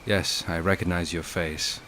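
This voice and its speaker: A man's voice: low-pitched, level tone, a little slow, pushed and bright, slight background noise. A man playing a grim, vengeful noble warrior in a fantasy video game, speaking in a terse, stern, controlled way. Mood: stern, questioning. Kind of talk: dramatic video game acting. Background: music.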